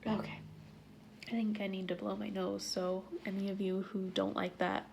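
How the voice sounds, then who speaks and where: A woman with a stuffy nose, talking casually to her viewers, breathy and often half-whispered between sighs. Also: mid-range voice, flat delivery, normal speed, darkish tone, slight background noise. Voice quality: stuffy nasal voice. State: stuffy nose, sniffling and sneezing. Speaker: vlogger. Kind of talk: casual talk to camera.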